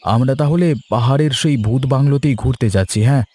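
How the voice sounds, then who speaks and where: A man voicing a cautious, worried young man in an animated horror story, hesitant in manner. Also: mid-range voice, a little fast, close to an everyday voice. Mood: reluctant, uneasy. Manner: hesitant, uneasy.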